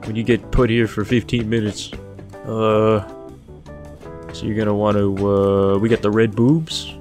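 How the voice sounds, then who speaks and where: A young man with a deep, low voice talks through his gameplay in a loose, joking way, cursing when things go wrong and thinking out loud as he goes. Faint music runs under his voice. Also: little pitch movement, measured pace. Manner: swears often, jokes, thinks aloud. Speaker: streamer playing a survival game. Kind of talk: casual gameplay commentary.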